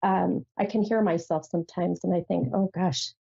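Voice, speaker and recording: A woman's voice: lowish voice, fairly even intonation, normal speed, gravelly, warm tone, slightly rough. A woman with a head cold and a nasal voice, hosting a live call-in psychic radio show, rambling with many fillers and calling callers hon. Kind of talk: live call-in tarot reading. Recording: muffled lo-fi stream audio.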